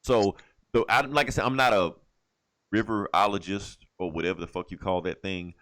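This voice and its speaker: A man's voice: lowish voice, moderate pace, fairly bright tone. A man from the United States hosting a casual podcast, telling stories in a joking, profane way. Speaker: comic book podcast host.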